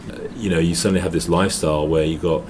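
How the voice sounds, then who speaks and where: Deep-voiced Englishman telling stories. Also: fairly even intonation, racing, a little mellow, slight background noise. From England. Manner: storytelling, restarts with repeated words. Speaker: guest. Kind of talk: casual chat. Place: noisy hotel.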